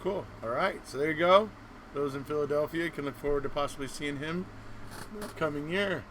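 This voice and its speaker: A man hosting an informal live-stream interview in a halting, thinking-aloud way. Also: fairly high pitch, a bit bright, poor audio. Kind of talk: casual live chat. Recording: faint background noise.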